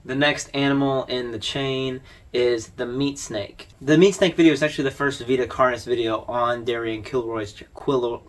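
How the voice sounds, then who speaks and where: A man's voice: mid-range voice, fairly even intonation, moderate pace, few pauses. A man hosting an informal explainer video, talking through his commentary in a conversational, wry way. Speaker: video host.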